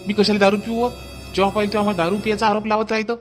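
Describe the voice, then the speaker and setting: A man's voice: high-pitched, flat delivery, rapid-fire, faint music behind, close to an everyday voice. A man voicing a defensive, irritable village husband in a family cartoon, with a Varhadi Marathi accent and curt delivery. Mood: indignant. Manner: curt, indignant. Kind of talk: animated family comedy dialogue.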